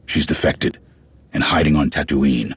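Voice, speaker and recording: A hard-edged clan commander with an extremely low, heavily rasping voice. He speaks firmly and bluntly, like a leader briefing soldiers in a video game cutscene. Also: measured pace, no room sound, full character voice. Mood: commanding, businesslike. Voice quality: very deep, gravelly, husky. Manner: firm, blunt, authoritative. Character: stern gravel-voiced male military clan commander.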